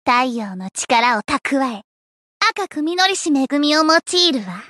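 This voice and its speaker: Casting: very high-voiced teen gothic idol girl, anime game, grandiose yet shy, unhurried delivery. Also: big pitch swings, sharp tone, dry recording, studio quality, exaggerated voice. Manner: grandiose, dramatic archaic declarations, slipping into shy sweetness. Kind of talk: anime game character voice lines.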